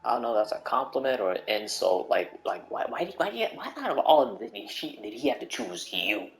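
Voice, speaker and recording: Very deep-voiced female game streamer. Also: sing-song, fairly quick, gravelly. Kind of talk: casual playthrough commentary and reading aloud. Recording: game music faintly behind.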